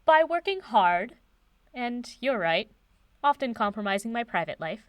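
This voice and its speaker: A woman playing an eminent older professor and doctor in an audio drama, speaking in a composed, measured and formal way, kind and wry. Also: a little high, big pitch swings, no echo. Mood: courteous, professional.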